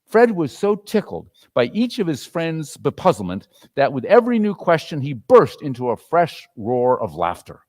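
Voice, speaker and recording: A man giving an expressive, theatrical dramatic reading of a story as narrator. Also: fairly high pitch, moderate pace, hardly any pauses. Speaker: dramatic reader.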